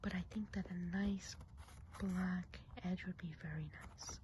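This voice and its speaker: Woman as a softly whispering, mellow gossipy witch. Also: lowish voice, steady tone, slight background noise, close to an everyday voice. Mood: musing, chatty. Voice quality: soft, breathy, frequently whispered. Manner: chatty, gentle, unhurried musing. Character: friendly gossipy witch of the enchanted woods, planning a party. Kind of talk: ASMR roleplay, soft-spoken and whispering.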